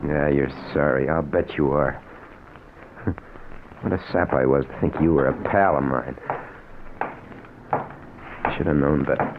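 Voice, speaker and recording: An actor playing a desperate, earnest working-class husband in an old-time radio drama, plain-spoken and emotional. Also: male voice, rumbling low, steady tone, unhurried, long pauses. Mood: bitter. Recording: narrow, noisy vintage radio recording.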